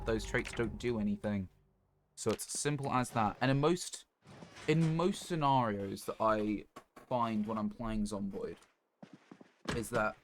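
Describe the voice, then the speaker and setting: A man chatting casually on a gaming livestream in a low-key, rambling, hesitant way. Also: slight background noise. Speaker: video game streamer.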